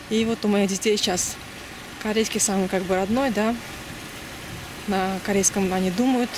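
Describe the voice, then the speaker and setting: A woman in her thirties from Uzbekistan, chatting loosely as she walks a city street at night and films on a handheld camera, with many fillers and restarts. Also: medium pitch. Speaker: vlogger. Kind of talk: casual walking vlog. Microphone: handheld camera mic. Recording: outdoor street noise and passing cars. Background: traffic and street ambience.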